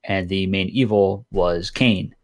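A man's voice: low-pitched, slow, dry recording, studio quality. A man co-hosting a gaming podcast, chatting casually and hesitantly with frequent fillers. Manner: relaxed, hesitant, lots of ums. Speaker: podcast co-host.

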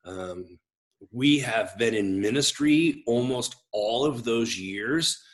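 A man in his forties with a big voice, telling his own story as a pastor and guest in an expressive, gregarious, halting conversational way. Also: mid-range voice. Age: 40s. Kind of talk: reflective personal storytelling in conversation.

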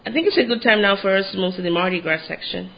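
Nigerian-accented woman, podcast host; gravelly, lo-fi, emphatic and repetitive delivery. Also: mid-range voice, rapid-fire. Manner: effusive, emphatic, repeats phrases. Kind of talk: casual interview chat. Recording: dull lo-fi sound.

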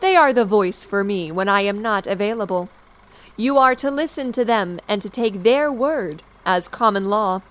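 An actress playing the composed president of a dystopian state, giving a polished, formal, measured address in an audio drama. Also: female voice, medium pitch, expressive, close to an everyday voice. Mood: official welcome. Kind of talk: formal scripted official address.

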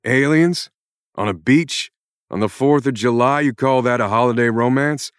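A man voicing a gruff male action hero who rants and swears angrily at his author. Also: medium pitch, normal speed, dry recording, studio quality, voice pushed up, natural voice. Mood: furious. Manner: ranting, swearing, scolding the author.

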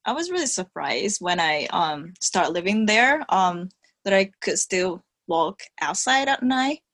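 Japanese-accented woman guest, very slow, halting, dry sound. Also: lowish voice, big pitch swings, a bit bright, no room sound. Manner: halting, giggly, many fillers. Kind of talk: casual interview chat.

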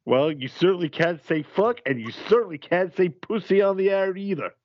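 Guy as a fed up wrestling radio host, highish voice, polite but stammery, dry sound. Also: moderate pace, no room sound, muffled recording, natural voice. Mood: exasperated. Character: exasperated, flustered male wrestling call-in show host. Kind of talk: comedic audio play acting.

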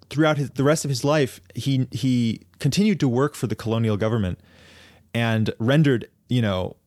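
A man on a podcast explaining a piece of history at length in a casual, thoughtful way, often pausing and restarting his sentences. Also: medium pitch, a little mellow, no echo, studio quality. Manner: thoughtful, halting, many fillers and restarts. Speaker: podcast co-host.

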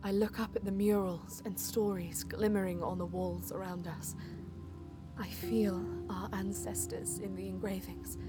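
A woman playing a proud, quick-witted elven alien heroine, narrating her story in a lofty, poetic manner in an audio drama. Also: mid-range voice, close to an everyday voice. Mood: hopeful, reverent. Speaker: first-person narrator and lead character. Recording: music behind the voice.

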